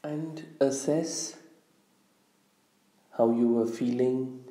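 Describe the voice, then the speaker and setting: A man with a very soft, dark voice leads a guided meditation. He speaks slowly and deliberately, leaving long pauses between phrases. The sound is roomy, with a noticeable echo. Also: mid-range voice, fairly even intonation. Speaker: guided visualization leader. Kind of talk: guided meditation, slow instructional narration. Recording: roomy, echoing room sound.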